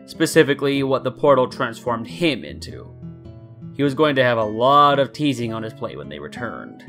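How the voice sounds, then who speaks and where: A man's voice: medium pitch, sing-song, normal speed, a bit bright, soft background music. A man narrating fan fiction as a scripted story.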